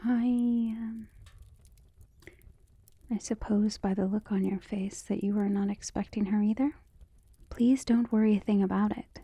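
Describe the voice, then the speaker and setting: A woman playing a devoted, outspoken servant of a noble household in an ASMR roleplay, speaking gently and deferentially in formal phrasing, often slipping into a whisper. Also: medium pitch, fairly even intonation, measured pace, pauses often, slightly rough, no room sound. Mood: polite, hesitant. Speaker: voice actor in an ASMR roleplay audio drama. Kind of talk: soft-spoken ASMR roleplay acting, often dropping to a whisper.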